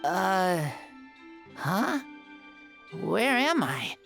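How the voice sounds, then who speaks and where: A frail young boy speaks slowly and hesitantly, with a soft gravelly edge to his voice. He sounds gentle and wondering, and quiet game music runs underneath. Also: mid-range voice, very animated, exaggerated voice. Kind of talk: game voice acting.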